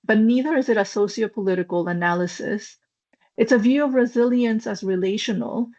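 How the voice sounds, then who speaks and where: A woman's voice: mid-range voice, a little mellow. A woman lecturer presenting her research in a webinar, speaking thoughtfully with frequent ums and uhs. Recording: slightly dull, lo-fi online call audio.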